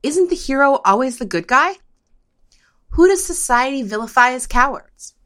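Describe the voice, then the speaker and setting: A woman presenting a podcast, reading a scripted opinion piece in a measured, questioning manner. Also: high-pitched, expressive, a bit bright.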